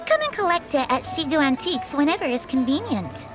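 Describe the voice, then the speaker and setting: A polite, smooth-talking woman antique seller from a video game speaks with courteous flattery. Her high voice moves in lively swoops over clearly heard music. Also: brisk. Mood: gracious. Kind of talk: video game character acting.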